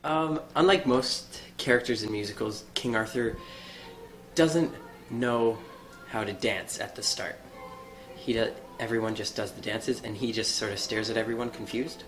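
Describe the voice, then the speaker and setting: A young man with a lowish voice gives a casual interview. He is informal and slightly hesitant, with faint music behind him. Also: moderate pace, poor audio. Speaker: amateur stage actor.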